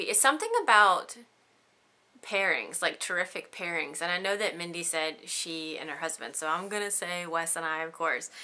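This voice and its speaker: A woman in her thirties talks casually through a list of questions, rambling and giggling with plenty of ums. Her voice sits a little low but sounds bright and forward. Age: 30s. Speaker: beauty vlogger. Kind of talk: casual chat answering tag questions.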